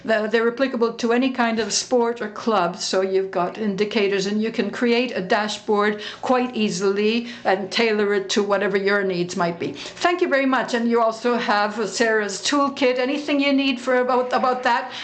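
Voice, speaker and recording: A woman's voice: on the high side, normal speed, few pauses. A woman wrapping up a conference session and introducing the next speakers, speaking informally with frequent restarts.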